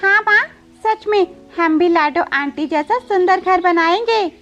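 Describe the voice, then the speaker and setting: Little son bird for cartoon, played by a woman: anxious, sweet, very high pitch. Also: measured pace, fairly bright tone, lo-fi sound, soft background music. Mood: eager. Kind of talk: Hindi moral-story cartoon dubbing.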